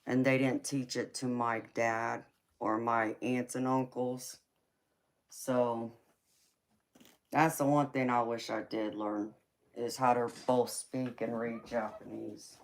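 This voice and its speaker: A woman's voice: rumbling low, little pitch movement, takes it slowly. A young woman with a Southern accent, hosting a casual livestream, chatting with viewers, laughing often and answering their comments. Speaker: tarot reader and livestream host.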